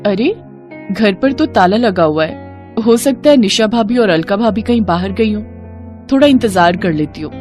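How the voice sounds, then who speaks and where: A woman's voice: mid-range voice, animated, normal speed, a little mellow, soft background music, natural voice. A woman playing a hurt, puzzled young daughter-in-law in a cartoon family drama, earnest and questioning. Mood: relieved, hopeful.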